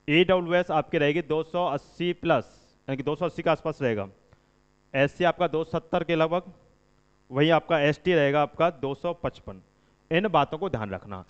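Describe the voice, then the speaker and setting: Reverberant, smooth man teaching. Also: on the high side. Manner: instructive, repeats points for emphasis. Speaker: exam-coaching presenter. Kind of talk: informal explainer addressed to students. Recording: dull lo-fi recording, long reverb.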